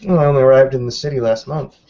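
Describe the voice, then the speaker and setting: Man playing a gravelly, guarded werewolf newcomer. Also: mid-range voice, steady tone, quick pace, mellow, close to an everyday voice. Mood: candid. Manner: careful, formal, hesitant phrasing. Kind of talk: improvised tabletop roleplay. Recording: dull lo-fi voice recording.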